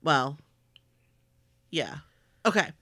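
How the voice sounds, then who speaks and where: Bright-voiced hesitant woman. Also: low voice, big pitch swings, drawn-out words, no room sound, clean studio sound. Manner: agreeable, hesitant, many fillers. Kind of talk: casual movie discussion.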